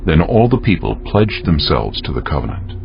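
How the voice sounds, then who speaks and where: Deep gravelly male scripture narrator. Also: sing-song, highs cut off, over music. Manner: solemn, measured, deliberate.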